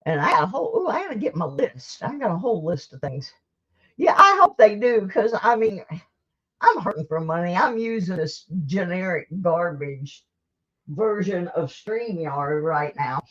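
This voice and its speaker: A woman's voice: fairly low pitch, very animated, moderate pace, fairly bright tone. A woman hosting a live stream, chatting casually and rambling to her viewers in a folksy, self-deprecating way, on a dull lo-fi recording. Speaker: livestream host.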